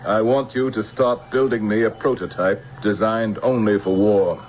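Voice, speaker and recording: An actor playing a menacing, imperious general in an old-time radio drama, giving clipped commands and cold threats. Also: male voice, fairly low pitch, fairly even intonation, normal speed, natural voice. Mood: cold menace. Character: menacing, commanding male general plotting interplanetary war. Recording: muffled vintage radio broadcast, faint hiss.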